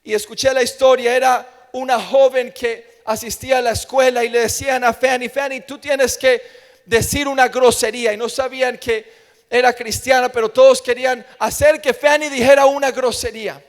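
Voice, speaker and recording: Colombian guy preaching in spanish accent from colombia, really high voice, emphatic, echoing big hall. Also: fairly even intonation, moderate pace. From Colombia, grew up in Medellín. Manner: emphatic, repeats phrases for effect. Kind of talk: evangelical sermon with personal testimony. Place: large church room with long reverb. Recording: long hall reverb.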